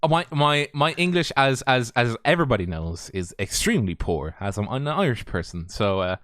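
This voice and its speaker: A man's voice: mid-range voice, sing-song, moderate pace, a bit bright, no room sound, studio quality. A man co-commentating a sim race stream in a jokey, chatty way, talking to the viewers. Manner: jokey, chatty, talks to the chat. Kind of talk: casual live race commentary.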